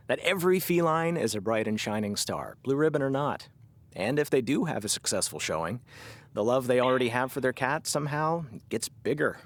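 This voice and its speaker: A man playing a gentle, earnest middle-aged cat lover in a comedy audio drama, speaking tenderly and a little haltingly. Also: mid-range voice, close to an everyday voice.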